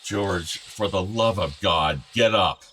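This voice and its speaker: A man's voice: low-pitched, slow pace, sharp tone, slight background noise, full character voice. An actor playing an older, weary, worried father pleading with his troubled son, in a naturalistic radio play. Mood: worried, insistent.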